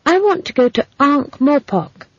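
A narrator voicing a stubborn, earnest nine-year-old girl who speaks gravely and persistently in a storybook reading. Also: high voice, very animated, measured pace, dry recording, lo-fi sound, pitched above the performer's own voice. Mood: pleading.

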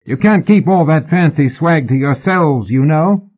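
A man voicing a brash ringleader posing as a drunken rascal in an audiobook, with rough, jovial shouting. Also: on the high side, expressive, a little slow, narrow, tinny audio, pitched above the performer's own voice. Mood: rowdy, shouting. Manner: rough, jovial shouting, dropping to quiet command. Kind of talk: audiobook character acting.

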